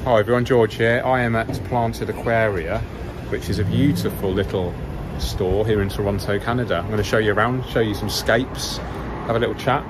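A man's voice: lowish voice, fairly even intonation, a little fast, heavy background noise. A man hosting an aquascaping vlog, giving a chatty, enthusiastic tour of an aquarium shop, recorded on a handheld camera. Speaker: aquascaping channel host.

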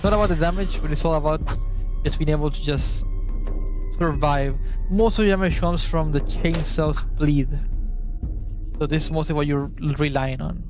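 A man's voice: a little high, normal speed, over music. A young man, chatting casually through a gameplay guide and sometimes hunting for words. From Argentina. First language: Spanish. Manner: chatty, searches for words, swears at the game. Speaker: gaming YouTuber.

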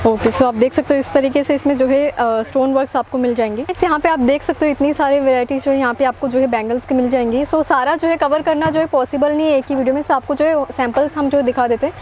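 Young woman, high voice, fast talker, noisy shop. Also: steady tone, a little mellow. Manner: chatty, many fillers, addresses viewers directly. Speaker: market video host. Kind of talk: casual shop walkthrough interview. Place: small crowded shop indoors.

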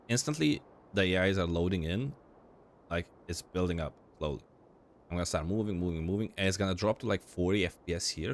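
A young man with a cold and a slightly crackly voice, rambling casually with lots of fillers as he explains game settings over his gameplay. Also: low-pitched. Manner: rambling, informal, frequent fillers and restarts. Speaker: gaming channel host.

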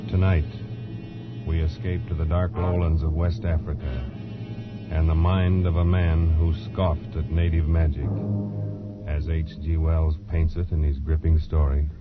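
A man with a very deep voice gives a dramatic radio introduction in 1940s style. Loud music plays behind him, and the old recording is muffled. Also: flat delivery, measured pace. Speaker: radio show announcer.

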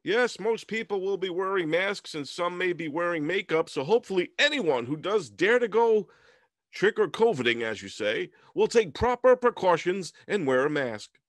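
A man's voice: high voice, animated, normal speed, dry recording. A man playing a polite, earnest news anchor in a comedy parody, speaking in a formal, agreeable, mock-serious way. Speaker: news host.

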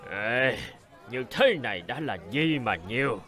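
An actor playing a burly, boastful, hot-tempered royal guard and bridegroom in a cartoon folk tale, emphatic and demanding. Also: male voice, medium pitch, expressive, measured pace, fairly bright tone. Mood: drunken boasting. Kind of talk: animated folk-tale dialogue.

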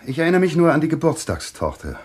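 An actor playing an earnest, hesitant doctor troubled by a nightmare in a radio play. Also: male voice, fairly high pitch, big pitch swings, fast, a loud crowd. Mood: grave, hesitant.

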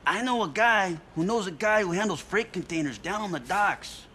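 An actor playing an eager young street hustler briefing about a job in slang. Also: male voice, sits high, expressive, moderate pace, pushed and bright, slight background noise.

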